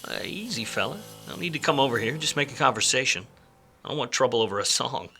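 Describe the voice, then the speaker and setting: An actor playing a weary, wisecracking 1940s private detective in a film noir radio play, with a wry, slangy manner. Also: male voice, mid-range voice, lively intonation, quick pace, pushed and bright, faint music behind. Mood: placating. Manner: wry, hard-boiled, slangy.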